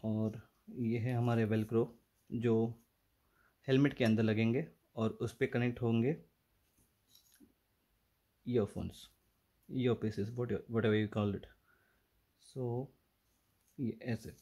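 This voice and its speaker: A man's voice: mid-range voice, fairly even intonation, slow pace, pauses often, dark tone. A man with an Indian accent giving a casual hands-on walkthrough as a vlogger, switching between two languages and thinking aloud. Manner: mixes two languages, thinking aloud while handling items. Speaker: vlogger presenting a product. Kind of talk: casual unboxing and installation walkthrough.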